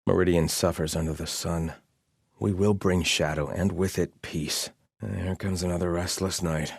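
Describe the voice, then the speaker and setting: An actor playing a haughty, devout soldier of a sun-worshipping empire in a video game, with a formal, disdainful delivery. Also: male voice, deep voice, fairly even intonation, normal speed, creaky, husky, no echo, studio quality, full character voice. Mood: haughty, solemn. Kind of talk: scripted video game barks and lines.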